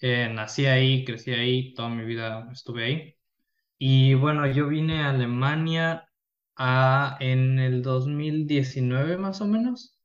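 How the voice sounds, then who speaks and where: Young man, radio guest, relaxed, hesitant, dull remote line. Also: mid-range voice, a little slow. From Ecuador, Quito. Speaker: interview guest. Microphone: remote call.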